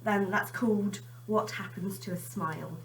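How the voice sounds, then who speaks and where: A woman's voice: mid-range voice, fairly even intonation, moderate pace, gravelly, far from the mic, slight background noise, rough recording. A woman teacher chatting informally to children and reading them a story expressively.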